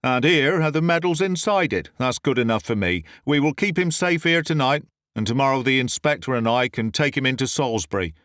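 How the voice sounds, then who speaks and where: A blunt village constable in a storybook reading, speaking briskly and in a matter-of-fact way, as a man voices him. His voice is mid-pitched and the recording is close and dry. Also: lively intonation, a bit bright, dry recording, close to an everyday voice. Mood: satisfied.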